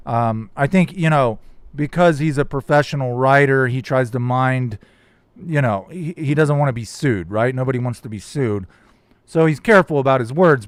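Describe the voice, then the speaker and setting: A man's voice: medium pitch, smooth, dry recording. Guy on a live chat going off about comments, voice getting a little cracked, dry room sound. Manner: rambling, reads viewer comments aloud. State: voice starting to crack. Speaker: YouTube host reading live chat. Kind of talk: casual live-stream chat.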